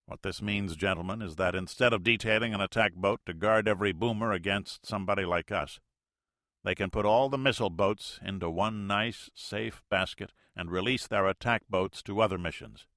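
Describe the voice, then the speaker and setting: A blunt submarine captain with a low voice, talking through tactics with a profane edge, as a male narrator reads him. The tone is bright and the recording is dry and close. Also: normal speed, no room sound, lightly acted.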